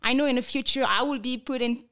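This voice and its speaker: A woman from France with a French accent, speaking English as a second language and talking earnestly as an interview guest, with soft laughs between her thoughts. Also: high-pitched, fairly even intonation, quick pace, clear, resonant tone. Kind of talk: conversational interview.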